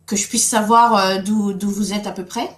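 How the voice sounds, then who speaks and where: A woman's voice: mid-range voice, fairly even intonation, normal speed. Middle-aged woman from Guadeloupe hosting a webinar, friendly, lots of fillers, roomy sound. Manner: friendly, many fillers and restarts. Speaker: webinar host and coach. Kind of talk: live webinar talk.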